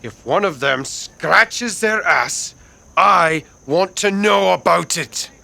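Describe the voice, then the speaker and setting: A man voicing a menacing, controlling Old West crime boss in a radio western, with drawn-out, forceful delivery. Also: high-pitched, vocal fry, voice pushed up, full character voice.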